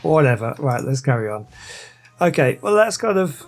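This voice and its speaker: A man's voice: medium pitch, sing-song, moderate pace, slight background noise. A man giving casual, easygoing, rambling let's play commentary as a gaming channel host.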